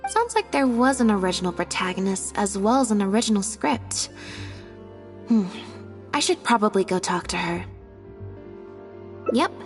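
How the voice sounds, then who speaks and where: A woman voicing a cool, analytical young woman thinking through a mystery in a video game, measured and musing. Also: on the high side, darkish tone, long reverb tail.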